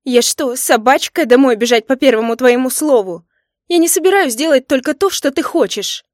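A woman's voice: high-pitched, lively intonation, normal speed, no echo, studio quality, pitched above the performer's own voice. A woman voicing an indignant, hurt young wife who snaps back emphatically in an audiobook story. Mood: hurt fury. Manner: snappy, emphatic, raised voice.

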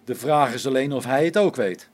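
A wary, skeptical space station engineer acted by a man in a science fiction radio play. His husky voice moves up and down a lot, informal and expressive. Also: medium pitch, brisk, natural voice.

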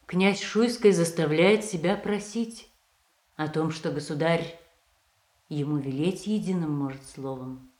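A dignified reproachful queen in radio drama, stately, very slow, echoey roomy sound. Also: low-pitched, a little mellow. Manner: stately, deliberate. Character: dignified, reproachful tsaritsa, loyal to her husband. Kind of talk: theatrical verse acting in a radio play. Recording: roomy, echoing stage sound.

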